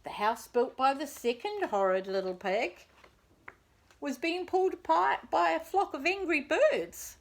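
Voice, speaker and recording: A woman storyteller reading a children's tale expressively and deliberately, as if to young listeners. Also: high-pitched, animated. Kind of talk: scripted children's story narration.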